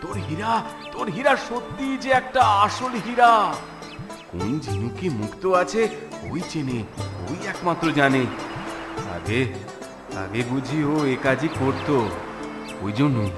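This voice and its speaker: Excitable, stingy cartoon village master, lively pitch, grumbles and repeats himself. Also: male voice, measured pace, pauses often, over music. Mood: amazed. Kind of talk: Bengali cartoon story acting.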